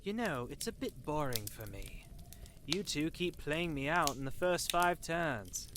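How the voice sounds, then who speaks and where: A woman playing an excitable, chatty, teasing tavern friend in a fantasy audio drama, with a dramatic, gushing manner. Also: low-pitched, a little slow, slight background noise. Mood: mildly sulky. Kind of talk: fantasy visual novel audio drama acting.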